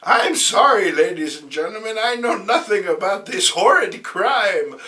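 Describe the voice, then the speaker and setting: Man doing a high-pitched, flustered old aunt. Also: animated, a little slow, bright, forceful tone, heavily acted. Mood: flustered, protesting. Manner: exclamatory, flustered, theatrical. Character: worried, indignant sweet old aunt, elderly woman. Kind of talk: comic-book dramatic reading.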